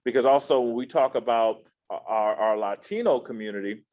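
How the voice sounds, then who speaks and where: A man's voice: mid-range voice, normal speed, darkish tone. A man from Miami with a slight Southern twang gives an informal, slangy motivational presentation, repeating phrases and addressing listeners directly, over dull lo-fi audio. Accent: American, slight Southern twang. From Miami, Florida. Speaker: keynote speaker, educator and author. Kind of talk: motivational presentation to an online audience.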